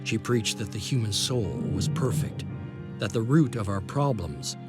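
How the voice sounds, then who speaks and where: Actor as solemn, low-voiced game narrator over music. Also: male voice, lightly acted. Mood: reflective. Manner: solemn, deliberate phrasing. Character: solemn male chronicler of a fallen secret project. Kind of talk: scripted dramatic game cutscene narration. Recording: loud music behind the narration. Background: loud music.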